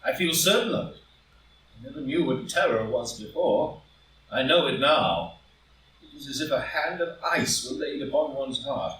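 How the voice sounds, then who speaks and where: A man's voice: medium pitch, lively intonation, normal speed, a bit bright, close to an everyday voice. A man playing a proud, anxious married statesman who fears scandal, earnest and melodramatic, in a stage drama reading. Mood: despairing, dread. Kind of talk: live read-through of a stage play as audio drama. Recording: very echoey room, distant mic, faint noise.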